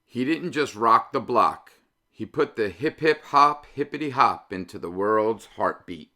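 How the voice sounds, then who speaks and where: Male read-aloud host, 50s, bright forceful voice, mid-pitched, emphatic and deliberate. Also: expressive. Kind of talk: expressive children's picture-book read-aloud.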